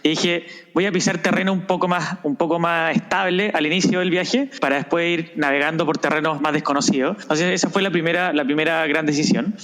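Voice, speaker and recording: Reflective man, slightly high voice. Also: fairly even intonation, fairly quick, few pauses, a bit bright. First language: Spanish. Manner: reflective, many restarts, tag questions. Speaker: guest. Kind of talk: conversational interview.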